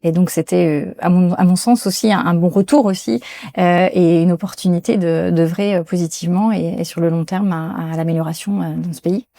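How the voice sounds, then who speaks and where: A woman's voice: lowish voice, steady tone, a little fast, gravelly, dark tone, no room sound, studio quality. A woman being interviewed as a company executive, speaking in a hesitant way with many fillers and restarts, recorded in a restaurant. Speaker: guest executive. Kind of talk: interview conversation. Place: restaurant in Paris.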